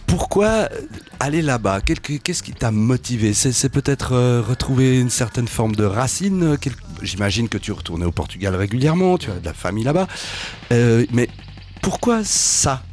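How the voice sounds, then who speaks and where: A man interviewing guests on a podcast, summing up their answers and asking relaxed follow-up questions. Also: medium pitch, moderate pace, soft background music. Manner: relaxed, sums up and asks follow-ups.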